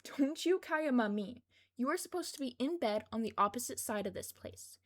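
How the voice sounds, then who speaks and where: A woman performs a brusque woman soldier in an audiobook story. The voice is on the high side, bossy and scolding, with a protective streak underneath the orders. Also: animated, moderate pace. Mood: exasperated, scolding. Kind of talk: fan fiction audiobook character dialogue.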